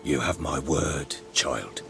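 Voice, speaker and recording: An actor playing a remorseful, rough middle-aged husband and father in a video game, speaking in a solemn, measured way. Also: rumbling low, exaggerated voice. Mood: solemn, earnest. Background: game music.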